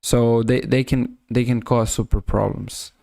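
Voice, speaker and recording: A man with a Serbian accent giving live chess commentary on a stream, halting and often rephrasing himself. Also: mid-range voice, little pitch movement, gravelly, mellow, no echo, studio quality.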